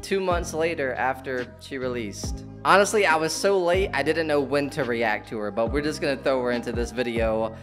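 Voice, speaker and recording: A man in his twenties giving casual, slangy reaction commentary with animated exclamations, close on a desk microphone. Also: medium pitch, normal speed, hardly any pauses. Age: 20s. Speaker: reaction video host. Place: indoor room in front of leafy backdrop. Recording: loud music under the voice. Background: loud game trailer music.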